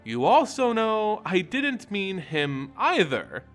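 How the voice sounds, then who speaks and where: A man's voice: sits high, huge pitch swings, slow, full character voice. A man voicing a female witch's spirit in a storybook narration, cryptic, knowing and pointed. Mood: probing. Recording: voice over background music.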